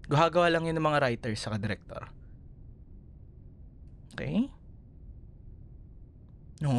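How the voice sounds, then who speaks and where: A man with a Filipino accent playing an affectionate, teasing, protective young boyfriend in an intimate ASMR roleplay, speaking in a tender, soothing way. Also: fairly high pitch. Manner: tender, playful, soothing, softly murmured.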